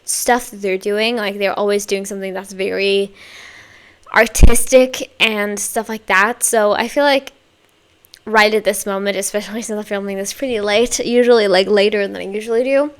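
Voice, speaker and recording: A woman's voice: mid-range voice, fairly bright tone, smooth. A young woman hosting a reaction video, sounding tired with a slightly worn voice, rambling casually with many fillers and restarts.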